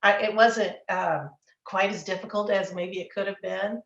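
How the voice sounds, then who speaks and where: A woman's voice: medium pitch, steady tone, normal speed, gravelly, a bit bright, reverberant, highs cut off. A middle-aged woman from Texas, an author chatting in an interview and telling stories with repeated words. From Texas, USA. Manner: storytelling, repeats words, chuckles. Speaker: author guest. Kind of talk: casual interview chat.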